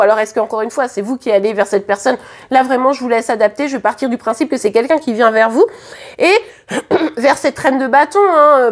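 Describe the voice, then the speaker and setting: A woman with a French accent and a high voice reads tarot cards to camera in a room at home. Her voice is a little hoarse, and she talks in a loose, chatty way, often stopping to restart a thought or fill a pause. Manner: chatty, hesitant, many fillers and restarts. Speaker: tarot card reader. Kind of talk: casual tarot reading to camera. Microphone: camera at the reading table.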